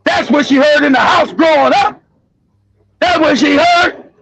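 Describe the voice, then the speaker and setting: A man hosting a show, delivering a loud, profane, mocking commentary rant. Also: very high voice, a little slow, gravelly, low-quality recording. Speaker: show host.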